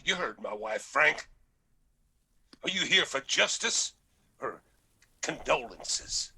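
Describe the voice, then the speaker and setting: Male actor as embittered Appalachian father in mourning, radio drama; husky, very sharp-edged, slow. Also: mid-range voice, very animated, full character voice. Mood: stern. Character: grieving, embittered backwoods patriarch, weary and stern.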